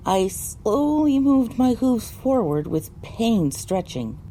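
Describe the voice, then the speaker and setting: A woman reading scripted first-person audiobook narration in a measured, dramatic storytelling manner. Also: fairly high pitch, very animated, warm tone, a little hiss or hum, poor audio. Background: music.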